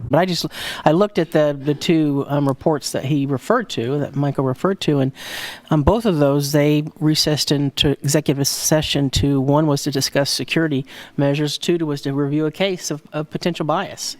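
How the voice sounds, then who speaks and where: A man speaking off the cuff in a civic meeting, measured and hesitant as he carefully makes his point. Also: a little high, fairly even intonation. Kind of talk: unscripted meeting discussion.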